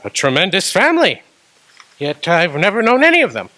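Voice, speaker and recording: An awed old miser, played by a man in a radio play. His voice leaps up and down with surprise and sounds bright and tense. He keeps asking where he is and who he sees. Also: high voice, huge pitch swings, brisk, full character voice. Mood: wondering. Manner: startled, questioning.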